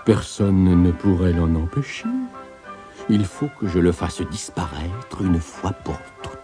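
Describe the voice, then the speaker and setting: A man voicing a scheming, fearful king in a storybook reading, talking slowly and slyly. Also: low-pitched, over music. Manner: slow, musing, sly.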